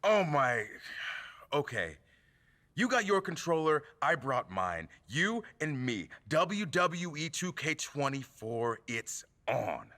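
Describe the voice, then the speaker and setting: A man's voice: a little high, sing-song, slow, pushed and bright, heavily acted. A man playing a brash, mocking wrestler and gamer in a video game, with emphatic, taunting, theatrical delivery. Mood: challenging. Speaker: rival wrestler who hosts a gaming show. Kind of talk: scripted video game cutscene acting.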